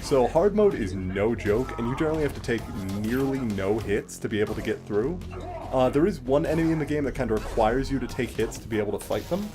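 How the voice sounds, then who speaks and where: A man's voice: mid-range voice, lively intonation, fast. Guy doing let's play commentary, explains stuff casually, bit sweary, game music behind him.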